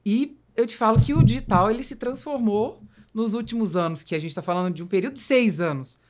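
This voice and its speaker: A woman's voice: mid-range voice, fast, background noise. A woman with a Brazilian accent hosting a casual business conversation, informal and ready with her own opinions. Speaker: podcast host.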